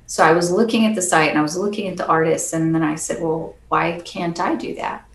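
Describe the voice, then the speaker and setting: Soft-spoken earnest woman, echoey room. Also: lowish voice, steady tone, fairly quick. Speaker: percussionist guest. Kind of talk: casual interview chat.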